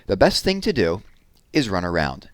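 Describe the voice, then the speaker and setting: A man's voice: sits low, huge pitch swings, normal speed, dry recording, studio quality. A man voicing a helpful male teddy bear in a storybook, matter-of-fact and full of advice. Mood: advising.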